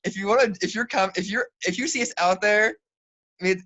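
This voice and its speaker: A man's voice: high voice, fairly quick, sharp tone, dull audio. Young man, light feminine-sounding voice, bubbly, chatty guest, many fillers. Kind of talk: casual chat.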